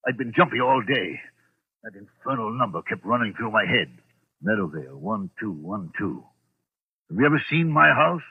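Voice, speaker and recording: An actor playing a wealthy, irritable, middle-aged gentleman unravelling with dread, in agitated, theatrical 1940s radio style. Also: mid-range voice, animated, normal speed, gravelly, husky. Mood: brooding unease. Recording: tinny old 1940s radio recording.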